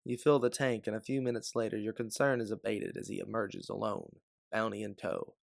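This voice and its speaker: A man's voice: fairly low pitch, steady tone, fairly quick, vocal fry, no room sound, studio quality. A man reading a scripted second-person story as a storyteller.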